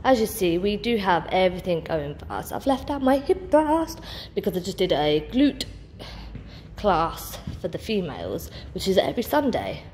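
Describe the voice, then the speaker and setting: A woman's voice: on the low side, big pitch swings, moderate pace, a little mellow, a little hiss or hum. A young woman, a personal trainer, chatting casually as she films a walkthrough of a large indoor gym on a phone held at arm's length, upbeat and full of ums and restarts. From England. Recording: reverberant gym hall with faint ambience.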